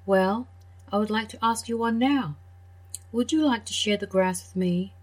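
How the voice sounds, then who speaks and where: A woman voicing a friendly farm cow, lifting her voice higher and louder in a lilting storybook way. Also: normal speed, slightly rough, close to an everyday voice, low-quality recording.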